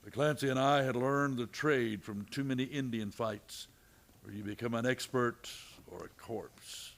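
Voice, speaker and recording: A man plays a shrewd Old West lawman in a radio western. He is steady and laconic, speaking in dry, folksy frontier phrases whether in dialogue or narration. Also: mid-range voice.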